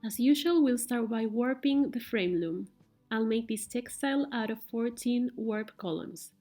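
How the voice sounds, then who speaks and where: Soft-spoken woman with a Spanish accent. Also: on the high side, no room sound, faint music behind. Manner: gentle, halting, with many fillers. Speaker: weaving tutorial host. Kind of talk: casual personal talk and craft tutorial.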